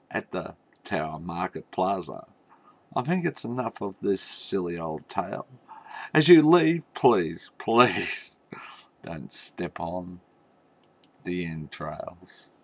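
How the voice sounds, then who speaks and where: Guy doing silly fairy tale storytelling, lowish gravelly voice, really theatrical, halting with lots of asides. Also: big pitch swings, no echo. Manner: theatrical, tongue-in-cheek asides.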